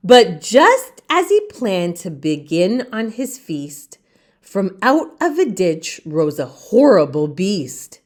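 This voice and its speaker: Woman reading a kids book out loud, real slow n deliberate, very animated pitch. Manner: theatrical, deliberate phrasing for young listeners. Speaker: storyteller reading children's books aloud. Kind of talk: expressive children's read-aloud narration.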